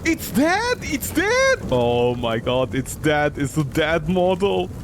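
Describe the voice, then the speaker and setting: A man's voice: fairly high pitch, wildly swooping pitch, slow, noisy background. A man giving casual, sarcastic and incredulous commentary as he streams a video game.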